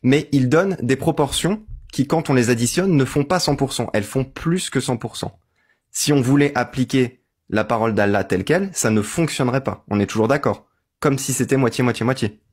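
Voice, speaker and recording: A man's voice: mid-range voice, brisk, a little mellow, breathy edge. A man with a mid-range voice argues patiently in an online voice-chat debate. He builds his point step by step and keeps asking the other side to agree. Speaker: debate host.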